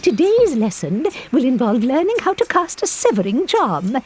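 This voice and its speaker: A woman voicing a formal, strict but kindly witch professor in a video game, instructing deliberately in a husky voice. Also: high voice, very animated, darkish tone, heavily acted. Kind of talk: video game character acting.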